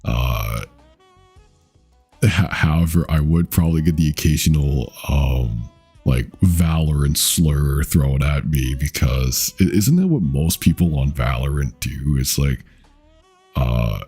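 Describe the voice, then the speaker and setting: A young man with a deep voice, chatting casually with his stream viewers in a rambling, halting way, with lots of fillers, casual swearing and frequent laughs. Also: lively intonation, dark tone, breathy edge, soft background music. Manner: rambling, halting, with many fillers and self-corrections. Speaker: VTuber streamer.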